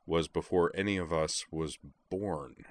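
Unflappable, mild-mannered driver for a storybook male reader acting, low pitch, measured delivery, dry sound. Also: a bit bright, dry recording. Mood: level. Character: calm, level-headed, unflappable male driver.

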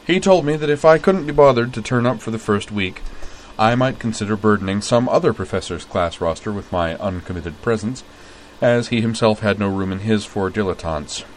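A poised young fencer, voiced by a male narrator, with crisp formal diction and a dry edge, pitched somewhat above his low natural voice. Her lines come in a steady audiobook reading. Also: moderate pace, a little hiss or hum, lightly acted, poor audio.

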